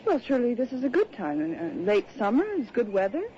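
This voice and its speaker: A woman's voice: a little high, sing-song. An uneasy woman writer, muffled radio. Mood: polite. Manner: measured, literate, dramatic phrasing. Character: polite, uneasy woman writer on a seaside holiday. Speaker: narrator and lead character. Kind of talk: old-time radio drama acting, reflective narration. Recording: muffled, noisy old radio recording.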